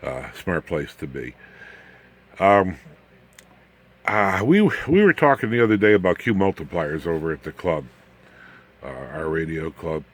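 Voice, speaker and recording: A man's voice: sits low, sing-song, a little slow, pauses often. An American man in his seventies giving a casual, unscripted workshop demonstration, rambling in a folksy way with self-deprecating asides to the viewer. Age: 70s. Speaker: ham radio hobbyist and video host. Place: home workshop.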